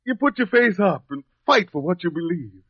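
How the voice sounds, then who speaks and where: An actor playing a humble, grateful young country man, speaking haltingly and earnestly in an old radio drama. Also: high voice, expressive, husky, dry recording. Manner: halting, earnest, folksy ungrammatical speech. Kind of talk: 1950s radio drama acting. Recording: tinny, phone-like old radio recording.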